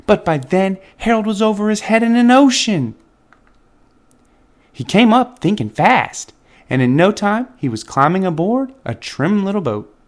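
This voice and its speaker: A man reading a picture book aloud to children with expressive, dramatic phrasing. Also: high voice, unhurried. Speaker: children's book reader and channel host. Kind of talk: expressive storybook read-aloud.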